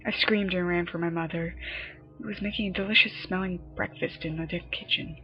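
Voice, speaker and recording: Low-voiced woman reading horror, muffled recording. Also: fairly even intonation, brisk. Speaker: horror story narrator. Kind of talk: scripted dramatic creepypasta narration. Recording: muffled lo-fi home recording, music behind. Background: background music.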